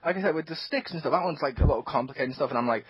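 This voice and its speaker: A man's voice: on the high side, racing, slightly rough, no room sound. A man co-hosting a casual gaming podcast, telling rambling stories with plenty of swearing. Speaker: podcast co-host. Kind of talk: casual chat. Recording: dull lo-fi podcast audio.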